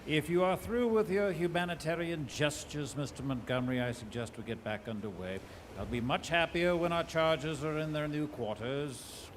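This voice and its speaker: An actor playing a haughty, cold, imperious island scientist, formal and curt, in a theatrical live audio drama. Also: male voice, a little high, moderate pace, natural voice. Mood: cold disdain. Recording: live hall with loud crowd noise.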